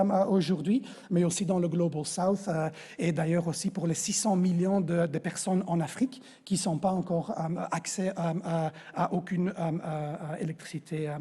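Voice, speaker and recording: Flemish man, high and mellow, halting conference speaker, long hall reverb. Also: fairly even intonation, husky. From Belgium. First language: Dutch. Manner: halting, with constant hesitations and restarts. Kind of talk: conference talk. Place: large conference venue.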